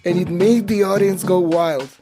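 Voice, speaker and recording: A man doing an expressive, conversational first-person voice-over of someone's life story. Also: sits high, normal speed. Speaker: voice-over translator of an interviewee. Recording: faint music bed.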